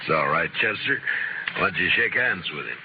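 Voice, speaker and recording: An actor in an old radio western playing a tough, steady American marshal with a firm, laconic, wry delivery. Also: male voice, sits low, brisk. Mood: wry, relaxed. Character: tough, steady, dry-humored frontier US marshal. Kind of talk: 1950s radio western acting. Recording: muffled old radio recording, heavy noise.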